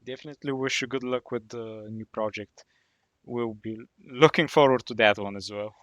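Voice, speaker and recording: Man, podcast co-host, casual questions, mid pitch, dry sound. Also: normal speed, fairly bright tone, dry recording. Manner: casual, asks questions with fillers. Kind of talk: podcast interview.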